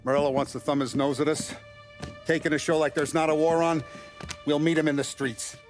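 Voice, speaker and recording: A man's voice: fairly high pitch, little pitch movement, a little fast, soft background music, close to an everyday voice. A man playing a ruthless middle-aged mafia boss in a video game cutscene, speaking with authority and menace. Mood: grave resolve. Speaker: crime boss.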